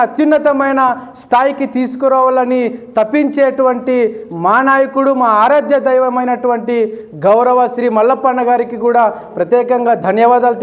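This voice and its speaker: A man, a local political leader giving a formal thank-you speech in repetitive, oratorical phrases. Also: very high-pitched. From Adoni region, Andhra Pradesh, India. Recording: dull, lo-fi sound.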